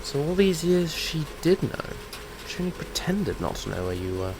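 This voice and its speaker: An actor playing a brash, teasing monster hunter and comic book nerd in an audio drama. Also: male voice, a little high, big pitch swings, moderate pace, a little mellow, slight background noise, low-quality recording. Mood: probing.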